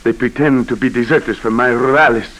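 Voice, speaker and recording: An actor playing an imperious, impatient Haitian governor with a French accent in a 1940s radio drama. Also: male voice, mid-range voice, fairly even intonation, a little fast, a bit bright, lightly acted. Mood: frustrated, fervent. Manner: commanding, impatient, emphatic. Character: imperious, superstitious Haitian governor, former rebel leader, fiery. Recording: vintage 1940s radio broadcast.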